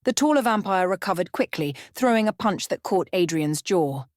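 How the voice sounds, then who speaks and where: A woman storyteller with a fairly low voice reads scripted fiction for bedtime ASMR. The recording is dry, with very little room around her words. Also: animated, moderate pace, no echo.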